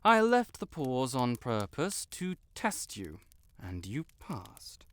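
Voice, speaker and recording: A man acting as a theatrical, haughty wizard, swooping pitch. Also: wildly swooping pitch, slow, fairly bright tone, no room sound, full character voice. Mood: boastful. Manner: pompous, theatrical, haughty, flustered outbursts. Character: vain, stuck-up tsundere young male wizard, secretly smitten. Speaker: voice actor. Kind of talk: scripted roleplay audio drama monologue.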